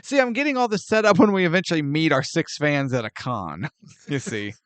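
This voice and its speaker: Animated, jokey man with a lively voice. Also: on the high side, brisk, dry recording. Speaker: podcast co-host. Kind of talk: casual film review chat.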